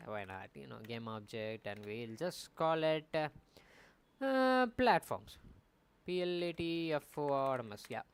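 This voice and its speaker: A man's voice: mid-range voice, smooth. A young man casually streaming as he builds a game, rambling and correcting himself as he thinks aloud at a desk mic. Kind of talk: casual live coding commentary.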